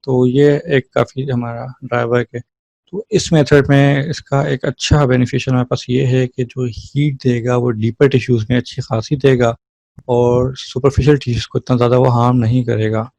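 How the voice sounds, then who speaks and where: Male lecturer, mellow soft-edged tone, dry close sound, informal step-by-step explaining. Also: mid-range voice, level tone, normal speed, vocal fry, no echo. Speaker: physiotherapy lecturer.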